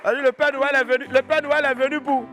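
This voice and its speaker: High-voiced male TV host. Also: fast talker, music in the background. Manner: animated, repeats co-host's lines back. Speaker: TV show host. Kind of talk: lively studio chat and news banter.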